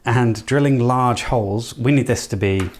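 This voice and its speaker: A man's voice: medium pitch, moderate pace, a little hiss or hum. A man casually talking through a hands-on electronics build, thinking aloud in a tentative, self-deprecating way. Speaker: host of an electronics project channel.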